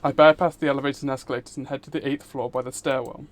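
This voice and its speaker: A man's voice: medium pitch, fairly even intonation, rapid-fire. A man live streaming, reading visual novel narration aloud and chatting casually with viewers in between. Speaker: streamer, VTuber host.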